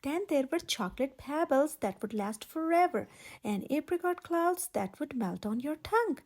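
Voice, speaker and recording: A woman reading a children's story aloud as a storytime host, expressive, with dramatic emphasis and a breathy voice. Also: high voice, moderate pace. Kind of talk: animated children's read-aloud narration.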